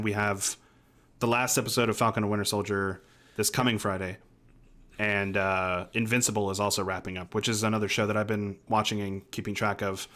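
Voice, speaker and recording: A man's voice: fairly low pitch, a bit bright. A man in his thirties chatting casually about film and TV as a podcast co-host, swearing now and then, close on a mic at home. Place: small home room, remote call.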